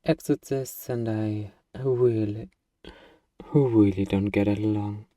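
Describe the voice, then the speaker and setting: Man playing a dark-voiced, eager little friendly demon. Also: on the low side, fairly even intonation, no echo, clean studio sound. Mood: nervously reassuring. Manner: hesitant, coaxing, playful, with drawn-out hums. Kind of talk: ASMR roleplay monologue, intimate and comedic.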